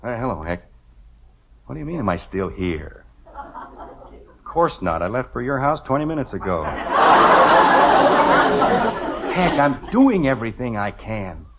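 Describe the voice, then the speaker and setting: A man's voice: mid-range voice, sing-song, moderate pace, vocal fry, pauses often. An actor playing a middle-aged American husband and father, hesitant, stammering and blustering, in an old-time radio comedy. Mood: harried. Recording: muffled, noisy vintage radio recording.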